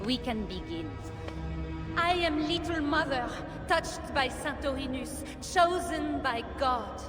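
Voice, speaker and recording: Fanatical holy zealot, female, high-pitched, grandiose, cruel, menacing, video game, music behind. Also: animated. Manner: menacing, grandiose, slow and deliberate. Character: fanatical cruel female religious zealot interrogating a captive queen. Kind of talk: dramatic video game acting. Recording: long hall reverb, loud music behind.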